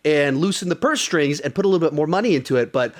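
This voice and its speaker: A man's voice: on the high side, expressive, fast. A man hosting a podcast, talking casually about films in a conversational, moderating manner. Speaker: podcast host. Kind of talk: casual film discussion.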